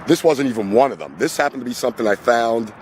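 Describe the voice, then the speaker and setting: A man in his fifties with a hoarse, cold-roughened voice, rambling casually to his camera outside by a road in the early morning. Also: medium pitch, animated, fast talker, darkish tone, slight background noise. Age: 50s. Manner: rambling, conversational, talks to the camera. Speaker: vlogger. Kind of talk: casual vlog monologue. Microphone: camera on a magnetic tripod.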